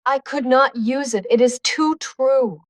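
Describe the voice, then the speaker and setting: A man voicing a spirited, flirtatious English duchess in an audiobook, with a coy falsetto and quick retorts. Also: steady tone, no echo. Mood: sparring. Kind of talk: audiobook character dialogue.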